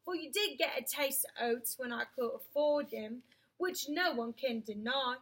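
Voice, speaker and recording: A woman's voice: high-pitched, a little slow, a bit bright. A woman voicing a plain-spoken, friendly male cab driver from a storybook, colloquial, hearty and emphatic. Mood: defensive.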